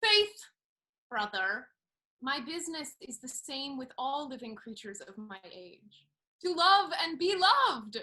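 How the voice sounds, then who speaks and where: Woman playing a high, bright, spirited young noblewoman. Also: very animated, slow pace, full character voice. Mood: cheeky defiant. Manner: theatrical, playful, spirited. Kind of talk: classical stage comedy acting.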